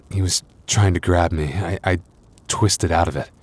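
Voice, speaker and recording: A man acts out a werewolf boyfriend in an intimate audio roleplay, hurt and worn out. His voice is very low and he speaks slowly, in halting phrases broken by sighs. He stays tender and reassuring with his partner. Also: slightly rough, heavily acted. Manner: halting, sighing, intimate delivery.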